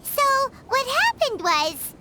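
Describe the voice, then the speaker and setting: A woman voicing a tiny, feisty, childlike fairy companion with a squeaky voice in a video game. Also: wildly swooping pitch, slow pace, heavy background noise, heavily acted. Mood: explaining, earnest. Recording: loud music under the voice.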